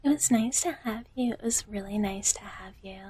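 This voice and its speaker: A woman voicing a sweet, gentle dragon big sister in live ASMR, whispering softly and haltingly and often repeating her phrases. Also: medium pitch, no echo, lightly acted. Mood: soft, reassuring. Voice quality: soft, breathy whisper. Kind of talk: whispered live ASMR roleplay, chatting with viewers.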